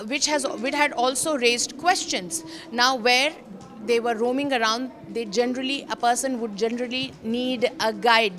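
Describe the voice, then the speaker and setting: A woman's voice: on the high side, a bit bright. A woman with an Indian accent presenting a news explainer as a reporter, speaking emphatically and repeating phrases for stress. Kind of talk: news explainer commentary, semi-scripted.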